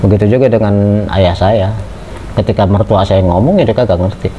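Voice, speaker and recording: A man's voice: on the low side, fairly quick, soft background music. A middle-aged man giving a casual lecture to students, talking conversationally with many hesitant fillers and putting questions to the class. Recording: muffled with steady background noise.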